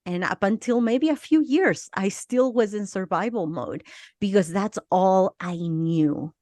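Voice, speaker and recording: A middle-aged woman from Argentina with a slight Argentine Spanish accent, telling her life story as a podcast guest in a slow, reflective way. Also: medium pitch, a little mellow, dry recording, studio quality. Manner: slow, reflective, with small laughs and restarts. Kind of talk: personal life story in interview.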